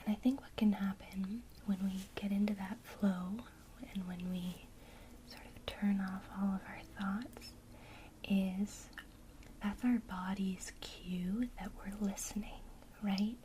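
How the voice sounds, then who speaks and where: A woman whispering softly and breathily in a gentle, encouraging way, as a teacher in an ASMR one-to-one lesson. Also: mid-range voice, steady tone, takes it slowly, slight background noise, poor audio.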